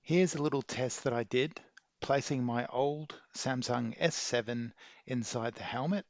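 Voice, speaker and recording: A man reading a scripted how-to narration in a measured, methodical way. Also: mid-range voice, dry recording. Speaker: video maker giving an installation tutorial.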